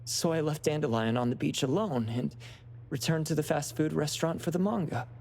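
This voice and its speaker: A woman voicing an earnest, gentle young woman in a video game, speaking plainly. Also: low-pitched, fairly quick, slight background noise. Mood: wistful. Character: earnest, gentle young woman searching for her lost dog. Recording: music with instruments behind the voice.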